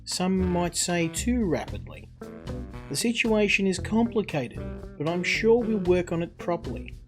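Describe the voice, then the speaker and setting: A party major read out by a man speaks like an old friend in a visual novel, confiding yet insistent. The voice is a touch high, with quiet music behind. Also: lively intonation, measured pace.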